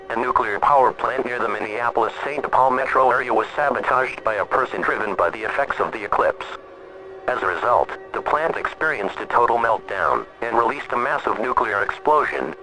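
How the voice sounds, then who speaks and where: A man performs a grave emergency alert announcer for a fictional broadcast. He reads official warnings at a medium pitch, formal and methodical. The delivery stays measured and impersonal. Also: close to an everyday voice, rough recording.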